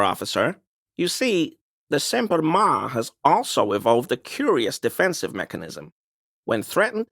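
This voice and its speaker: A man's voice: a little high, a bit bright, dry recording, studio quality. An actor playing a smug, conspiracy-minded man in a video game, speaking in an insistent, knowing, insinuating way. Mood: eager, theorizing. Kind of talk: video game dialogue voice lines.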